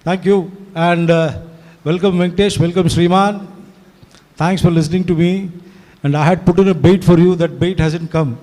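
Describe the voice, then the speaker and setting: A man's voice: high-pitched, steady tone, fairly quick, a little mellow. A man of sixty whose first language is Tamil, chatting to an audience at a live event, rambling fluently with many "you know"s. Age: 60s. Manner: rambling, fluent, mixes Hindi and English, frequent "you know". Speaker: event organizer and speaker. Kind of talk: conversational talk to an audience. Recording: long hall reverb.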